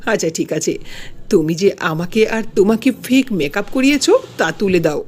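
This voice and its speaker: A woman's voice: mid-range voice, lively intonation, normal speed, darkish tone, a little hiss or hum, close to an everyday voice. A woman voicing a jealous, scheming village headman in a dramatic cartoon moral tale. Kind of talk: dubbed cartoon dialogue.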